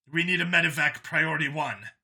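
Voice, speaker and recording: A man voicing a brisk female squad leader giving clipped military reports over comms in an audio story. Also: a little high, gravelly, pushed and bright, full character voice. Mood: strained, concerned. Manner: clipped military radio talk.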